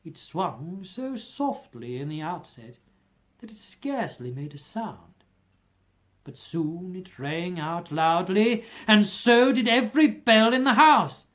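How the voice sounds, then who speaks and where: Theatrical storyteller with sweeping, lively pitch. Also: takes it slowly. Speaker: amateur audiobook reader. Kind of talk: dramatic audiobook narration of a classic ghost story.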